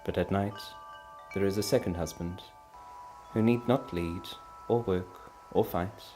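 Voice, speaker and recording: A man's voice: low voice, unhurried, dark tone, slight background noise. A man voicing a proud, anguished, defiant wife in a storybook reading, impassioned and emphatic, trembling with feeling. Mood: bitter, yearning. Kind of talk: dramatic storybook character reading.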